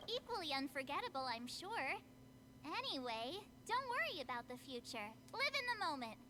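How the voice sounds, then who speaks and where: A woman voicing a perky, playful, excitable teenage girl adventurer in an anime-style video game, with bubbly, chatty delivery. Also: high-pitched, moderate pace, slight background noise, heavily acted. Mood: upbeat.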